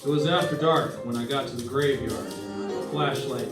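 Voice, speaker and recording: A man's voice: medium pitch, moderate pace, close to an everyday voice. A man playing a wry, hardboiled investigator, narrating and talking in clipped old-time radio detective style. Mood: wry, dramatic. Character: hardboiled, wry male insurance investigator narrating his case. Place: live stage performance. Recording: echoey live stage, distant mic, music behind. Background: music.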